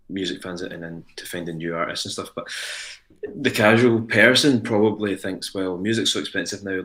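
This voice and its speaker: A man's voice: deep voice, pushed and bright. A man, a radio co-host talking casually in a wry, thoughtful way. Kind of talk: casual chat.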